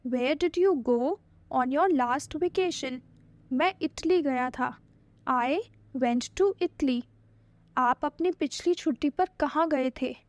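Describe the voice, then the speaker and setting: Very slow, high-voiced woman teacher. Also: darkish tone, dry recording. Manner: very slow, deliberate English, quicker Hindi. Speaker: language teacher. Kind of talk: slow drill reading of phrase pairs.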